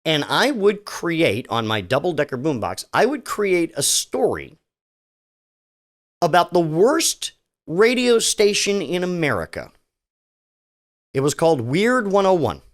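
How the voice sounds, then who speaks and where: A man's voice: fairly high pitch, very animated, a little slow, pauses often. A middle-aged man hosting a solo podcast, talking casually and sarcastically, rambling with many restarts. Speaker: podcast host.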